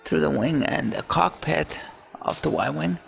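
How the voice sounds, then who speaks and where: A man casually narrating his own digital painting in a relaxed, hesitant way, full of fillers and restarts, over faint music. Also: a little high, measured pace, gravelly.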